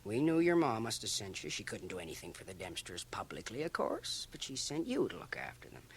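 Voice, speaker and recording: A man's voice: lowish voice, wildly swooping pitch, a little fast, a little hiss or hum. A man playing a folksy, gossipy small-town barber with a Canadian accent in a radio drama, chuckling and dropping into confidential asides. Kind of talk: radio drama acting, rambling monologue. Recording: 1980 radio drama, home cassette transfer.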